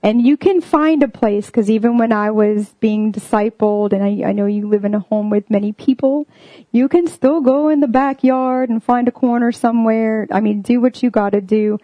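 Young female preacher, earnest and chatty, higher pitch, dry close recording. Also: moderate pace, no echo, muffled recording. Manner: earnest, full of "like" and "um" fillers. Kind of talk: casual sermon.

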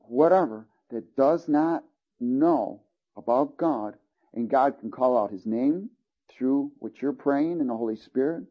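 A man's voice: medium pitch, a little slow, dull audio. A man preaching a sermon, reading scripture aloud and checking in with listeners as he teaches. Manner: earnest, calls out "Amen?" and "Hallelujah" to listeners.

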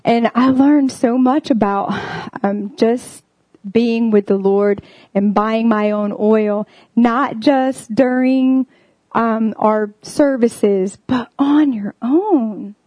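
A woman's voice: on the high side, slow, mellow, no room sound, highs cut off. A young woman giving a casual, earnest sermon, filling her talk with "like" and "um". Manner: earnest, full of "like" and "um" fillers. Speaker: preacher.

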